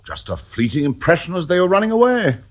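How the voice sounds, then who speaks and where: A haughty aristocrat in a radio drama, indignant and flustered. His husky voice swings hugely in pitch, and every line sounds upper-class and defensive. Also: wildly swooping pitch, fast, heavily acted.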